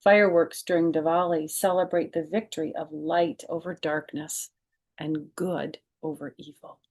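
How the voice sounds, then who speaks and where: A woman's voice: low-pitched, fairly even intonation, normal speed, a little mellow. A woman hosting a children's storytime, reading a picture book aloud expressively and adding chatty, informal explanations.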